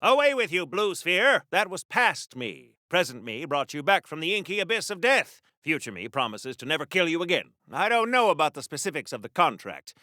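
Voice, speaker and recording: A man's voice: fairly high pitch, sing-song, brisk, hardly any pauses, pushed and bright, dry recording, studio quality. A man playing a chatty, pompous wartime comic-book hero in a video game, with flowery diction in a theatrical 1940s radio serial style. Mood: grandiose.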